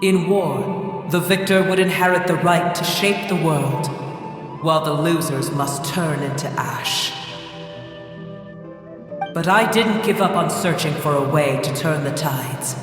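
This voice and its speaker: An ancient, scornful dragon from a video game, speaking in a somewhat high male register. The delivery is grave, formal and imperious, swimming in cavernous echo and long reverb. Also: measured pace, a bit bright, soft background music. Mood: grave, reminiscing. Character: ancient proud dragon, vast, solemn and scornful of humans. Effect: heavy echoing reverb. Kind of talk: English video game voice acting.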